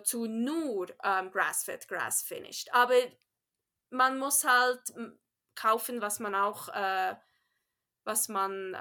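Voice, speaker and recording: A woman with a Swiss accent speaks slowly and haltingly, her tone bright and clear. Her first language is Bernese Swiss German, so she often searches for words and drops in English phrases. She is chatting casually as an interview guest. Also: on the high side. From Switzerland. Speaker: podcast guest.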